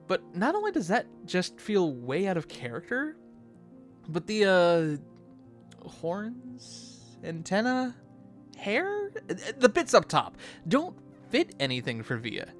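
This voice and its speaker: A video host giving casual, expressive conversational commentary. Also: mid-range voice.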